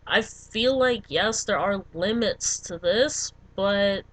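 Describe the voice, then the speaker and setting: A woman's voice: mid-range voice, slow pace, fairly bright tone, poor audio. Rambling young woman vlogger. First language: English. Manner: rambling, full of ums and likes. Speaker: language vlogger. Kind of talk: casual talk to camera.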